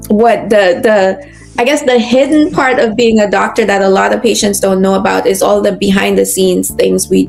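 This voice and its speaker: A woman in her thirties, a physician, talking through her career in a relaxed interview, pausing with fillers and restarts. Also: medium pitch, vocal fry. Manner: reflective, many fillers and restarts. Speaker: physician guest. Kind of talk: conversational interview.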